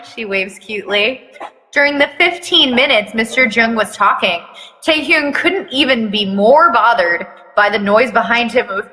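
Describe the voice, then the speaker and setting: A woman with a bright, fairly high voice reads fiction in a theatrical way and slips in sarcastic commentary. Her delivery is unhurried, and a long hall-like reverb hangs on the voice. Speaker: reader of fan fiction with commentary. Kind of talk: dramatic storytelling with joking asides.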